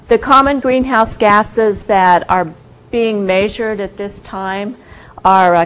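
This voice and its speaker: A soft-spoken woman giving an informal guest lecture, hesitant, with many fillers and restarts. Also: medium pitch, poor audio. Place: university lecture room.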